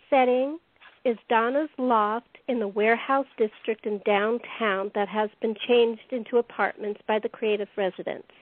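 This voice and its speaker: A woman host narrating a radio play, reading the script deliberately, phrase by phrase. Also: a little high. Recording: dry, tinny phone-line audio.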